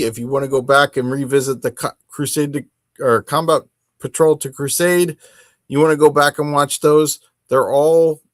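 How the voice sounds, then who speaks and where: A man hosting a hobby podcast, talking casually on his own in a rambling, halting and self-deprecating way. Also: medium pitch, dry recording, clean studio sound. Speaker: host of a tabletop wargaming podcast. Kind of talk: casual solo chat to camera.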